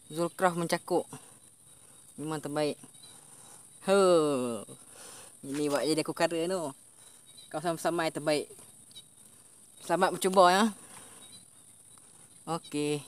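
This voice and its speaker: A young man with a Malaysian Malay accent giving casual commentary while fishing outdoors, bursting into excited shouts when a fish strikes. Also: fairly high pitch. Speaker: fishing vlogger. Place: outdoors by a swampy plantation stream.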